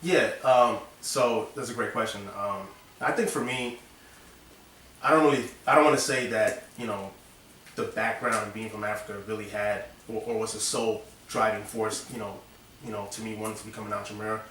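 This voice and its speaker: A man's voice: lowish voice, moderate pace. A man talking casually as an interview guest, rambling with lots of fillers. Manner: relaxed, rambling, many fillers. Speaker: interview guest, design studio owner. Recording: echoey room, distant mic, faint noise.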